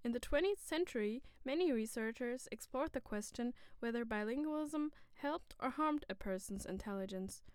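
A woman's voice: on the high side, darkish tone, clean tone, no room sound. A woman from Germany co-presenting a scripted educational dialogue in an articulate, formal, explanatory way. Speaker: co-presenter in a discussion on bilingualism.

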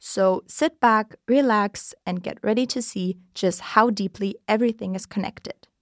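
A young woman of nineteen hosts a podcast in a polished, upbeat voice at a middle pitch. She reads her scripted lines and moderates the talk. The recording is dry and close. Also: a little slow, no echo. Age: teens. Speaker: podcast host.

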